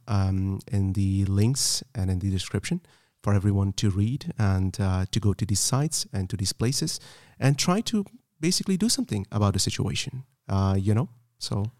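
A man hosting a podcast, talking earnestly with many pauses and fillers. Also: fairly low pitch, a little mellow, no echo, clean studio sound. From Afghanistan. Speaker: podcast host. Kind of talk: casual podcast conversation. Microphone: podcast microphone.

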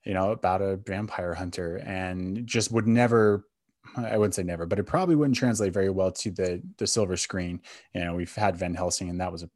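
A man's voice: low voice, a little fast, darkish tone, no room sound, studio quality. A man co-hosting a podcast in a casual, conversational style, giving his opinions bluntly. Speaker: podcast co-host. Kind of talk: casual chat.

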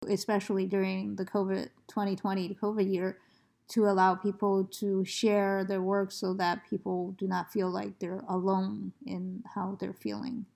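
Woman interviewer, gravelly fry in her voice, slow hesitant questions, medium pitch. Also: little pitch movement, darkish tone. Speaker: interviewer host. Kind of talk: conversational interview.

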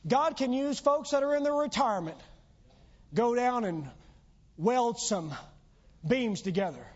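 A man preaches from the pulpit with a high, strained voice that is going hoarse. He drives home his points with rising, earnest appeals. The recording is dull and lo-fi. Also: animated, measured pace. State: losing his voice. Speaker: pastor. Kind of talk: sermon. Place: church sanctuary.

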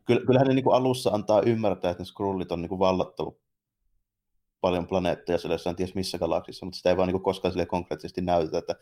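A man's voice: deep voice, steady tone, dark tone. A man co-hosting a podcast, talking casually about films in a colloquial, rambling way. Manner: colloquial, rambling, loosely phrased. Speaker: podcast co-host. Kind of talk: casual film discussion between two co-hosts.

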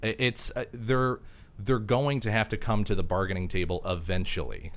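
A man's voice: fairly low pitch, steady tone, moderate pace, a bit bright. A man in a political discussion, blunt and sardonic, swearing casually. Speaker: panelist.

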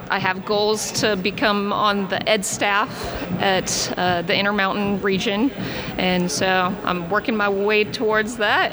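A young woman from Utah, a ski instructor, answering interview questions earnestly with many pauses. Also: mid-range voice, rough recording. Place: bar and restaurant at a ski lodge.

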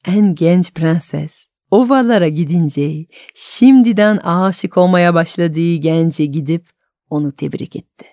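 A woman storyteller narrating a folk tale expressively for adult radio listeners, with dramatic, deliberate phrasing and pauses. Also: on the low side, mellow, no echo, clean studio sound.

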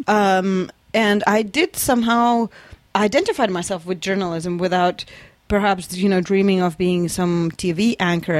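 A woman's voice: mid-range voice, darkish tone, no echo. Lithuanian-accented woman, dry close sound. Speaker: interview guest, documentary filmmaker. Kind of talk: casual conversational storytelling.

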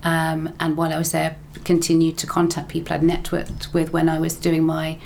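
A woman's voice: sits low, monotone, moderate pace, gravelly, darkish tone, slight background noise. A middle-aged woman, casually telling her story in an interview, chatty and laughing easily. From England. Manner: chatty, laughs often, repeats phrases. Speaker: interview guest, broadcaster and lecturer.

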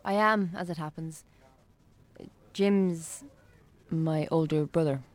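Actress as a lowish-voiced, bookish Irish woman. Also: takes it slowly. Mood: subdued, candid. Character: friendly, composed, bookish woman working in a shop. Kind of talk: naturalistic radio drama acting.

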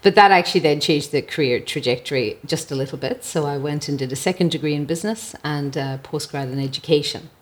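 A woman's voice: low voice, fast, few pauses. A middle-aged or older woman with an Irish accent, telling her own story in a conversational, reflective way as a podcast guest. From Ireland. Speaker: podcast guest, mental health advocate. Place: at home, indoors.

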